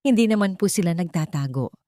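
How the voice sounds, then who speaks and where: A woman narrator plays a frightened old Filipino woman in an audio story, burdened by conscience. The voice is a little low and recorded dry. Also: lively intonation, quick pace, dark tone, no room sound, lightly acted. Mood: anxious confiding. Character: frightened, conscience-stricken old retired female hospital worker.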